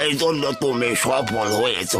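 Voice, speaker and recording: A man's voice: medium pitch, unhurried, over music, close to an everyday voice. A man voicing an approving, avuncular townsman in a cartoon. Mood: admiring, humbled. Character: approving male customers and humbled rival, avuncular. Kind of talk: cartoon voice acting.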